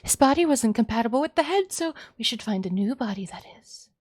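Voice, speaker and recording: A woman's voice: a little high, lively intonation, a little fast, darkish tone, no echo, studio quality. A woman as a maniacal, sweetly menacing mother. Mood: anxious, scheming. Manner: theatrical, sweetly coaxing turning threatening. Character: maniacal doting mother, cloyingly cheerful and menacing.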